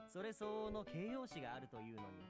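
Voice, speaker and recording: A man's voice: high voice, big pitch swings, rapid-fire. An actor playing a cool, courteous young man with dry barbs in a Japanese visual novel. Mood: dry, wry. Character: polite, cool, sardonic young man, a brother. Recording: game music behind the voice.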